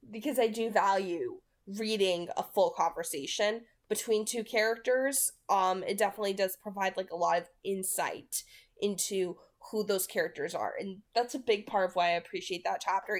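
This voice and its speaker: A woman in her twenties who reads books aloud and talks about them. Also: medium pitch. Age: 20s. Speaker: reader and reviewer on a read-aloud channel. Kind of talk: audiobook-style reading, then casual book commentary.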